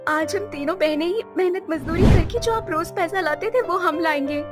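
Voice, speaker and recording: A woman acts out an outspoken young village woman in a cartoon moral tale. Her voice is strikingly high and full of earnest insistence. Music is clearly heard beneath it. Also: normal speed. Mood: worried, insistent. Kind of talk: acted cartoon story dialogue.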